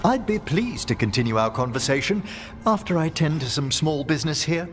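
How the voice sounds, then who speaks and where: An actor playing a genteel older necromancer professor in a video game, effusive, refined and polite. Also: male voice, a little high, animated, a little fast, close to an everyday voice. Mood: modest, courteous. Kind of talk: video game cutscene acting. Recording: long reverb, loud music behind.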